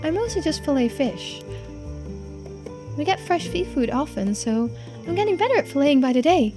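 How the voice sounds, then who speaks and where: A woman's voice: sits high, very animated, moderate pace, mellow, soft background music, voice pushed up. A woman voicing an anxious, earnest young woman from a visual novel, sweet but stubborn and often hesitant. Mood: gentle, chatty.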